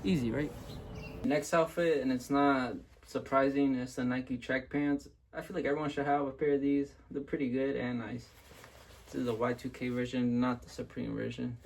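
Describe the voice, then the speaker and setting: A young man with a voice raspy from illness, chatting casually to viewers as he reviews and styles clothes at home. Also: medium pitch, level tone, moderate pace, slight background noise, poor audio. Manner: laid-back slangy talk to viewers. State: raspy from being sick. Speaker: fashion vlogger.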